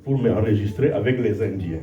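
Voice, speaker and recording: A visiting preacher giving a testimony sermon in short phrases, pausing often so each line can be interpreted. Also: male voice, on the low side, expressive, mellow, muffled recording. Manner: short phrases paused for interpreting, rallying the crowd. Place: church hall. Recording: echoey hall, distant mic, crowd.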